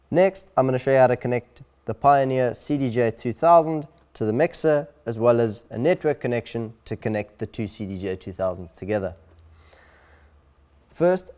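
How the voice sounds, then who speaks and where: A man presenting an instructional video in a clear, deliberate, step-by-step way. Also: medium pitch, darkish tone. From South Africa.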